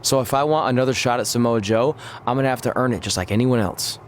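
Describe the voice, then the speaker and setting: A man playing a good-natured, jokey pro wrestler in a video game cutscene, talking casually with deadpan humor. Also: medium pitch, fast talker, a little hiss or hum, lightly acted. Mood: easygoing, joking.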